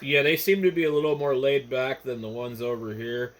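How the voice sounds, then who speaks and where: A man's voice: mid-range voice, fairly even intonation, a little fast. American man chatting over noisy ham radio. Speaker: amateur radio operator. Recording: noisy ham radio transmission, static behind.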